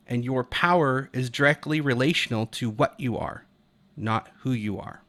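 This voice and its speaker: A man's voice: mid-range voice, a bit bright. An American man from Utah giving unscripted, opinionated video commentary in an emphatic, argumentative and casually profane way. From Utah, United States.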